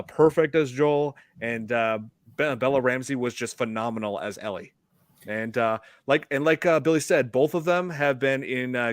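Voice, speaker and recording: A man's voice: medium pitch, no room sound, studio quality. A man in his thirties hosting a casual podcast, rambling with lots of fillers. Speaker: podcast host.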